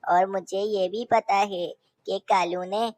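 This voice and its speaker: A woman voicing an older, concerned mother crow in a children's cartoon, with a measured manner. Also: mid-range voice, fairly even intonation, darkish tone, no room sound, close to an everyday voice. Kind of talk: Hindi animated children's story dialogue.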